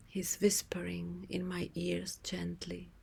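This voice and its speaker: A woman speaks in a soft, breathy half-whisper, soothing and unhurried with long pauses, as a yoga teacher leading a guided relaxation meditation. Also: on the low side, monotone. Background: music.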